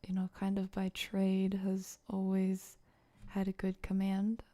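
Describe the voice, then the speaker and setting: A soft-voiced woman chatting playfully and casually to viewers on an ASMR livestream, often dropping into a whisper. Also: mid-range voice, completely flat delivery, measured pace. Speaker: ASMR live streamer.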